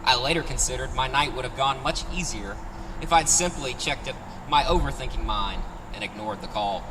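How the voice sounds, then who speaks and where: A man reads his own short story to a live gathering in a conversational narrative style. His voice is on the low-middle side of ordinary and comes from a distance, with long reverb. Noise runs clearly behind him. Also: normal speed. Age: 30s. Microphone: handheld microphone, picked up from afar.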